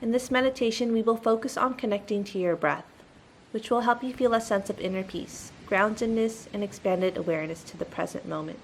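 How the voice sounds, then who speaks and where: Creaky-voiced woman guiding meditation. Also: medium pitch, a little fast, slight background noise, poor audio. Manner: soothing, instructional. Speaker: meditation guide. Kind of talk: guided meditation narration.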